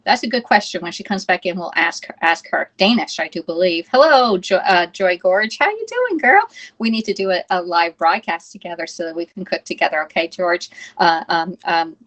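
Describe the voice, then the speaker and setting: A woman's voice: fairly low pitch, expressive, moderate pace, fairly bright tone. Woman host of a home cooking livestream: casual, chatty, reads the chat aloud, a bit scattered with the tech. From United States. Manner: chatty, reads viewer comments aloud, fumbles with the app. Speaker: live-stream cooking host. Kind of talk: casual live-stream chat.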